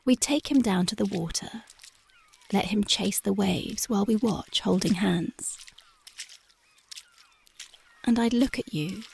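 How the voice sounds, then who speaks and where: A woman voicing a tender, dreamy young punk girlfriend in an ASMR roleplay, speaking softly and often slipping into whispers. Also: mid-range voice, slow, vocal fry, long pauses, husky, heavily acted. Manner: soft, often drifting into whispers. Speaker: ASMR voice actress.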